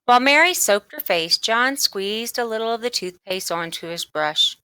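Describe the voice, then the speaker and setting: Bright-voiced woman reading a children's story. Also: fairly high pitch, expressive, normal speed, smooth, no room sound. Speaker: storybook reader. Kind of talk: scripted read-aloud narration for children.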